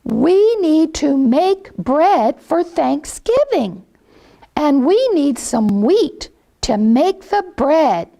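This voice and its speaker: A woman voicing a sweet, high mother hen. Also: sing-song, extremely slow, gravelly, warm tone, exaggerated voice. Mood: coaxing. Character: kind hardworking mother hen.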